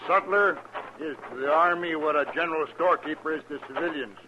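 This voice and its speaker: An American actor playing a brisk, plain-spoken army storekeeper in a 1950s western radio drama, gruff and folksy. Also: male voice, fairly high pitch, lightly acted. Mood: businesslike. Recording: muffled, hissy vintage radio recording.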